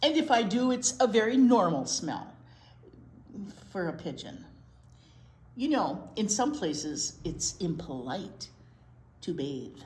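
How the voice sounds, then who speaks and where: A woman's voice: fairly low pitch, big pitch swings, takes it slowly, pauses often, a little mellow. A woman voicing a stubborn, grubby pigeon who protests defensively against everything, in a children's picture book. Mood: indignant protesting. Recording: roomy echo, noticeable room noise.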